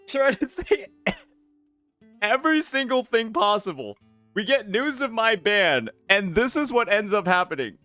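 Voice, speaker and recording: A young man with a high, bright voice picks apart a clip with incredulous, mocking asides. His delivery is casual commentary. Faint music runs beneath. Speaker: commentary youtuber. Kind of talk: reaction commentary.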